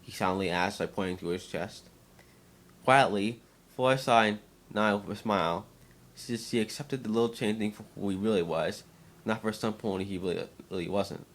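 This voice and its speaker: A man with a fairly low voice narrates a storybook-style fan tale. His reading is amateur, with occasional stumbles and repeated words. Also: normal speed.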